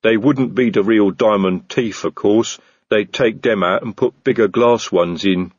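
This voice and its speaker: A man voicing a big calm male troll who speaks slowly and matter-of-factly. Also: lowish voice, no echo, muffled recording. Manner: slow, calm, matter-of-fact.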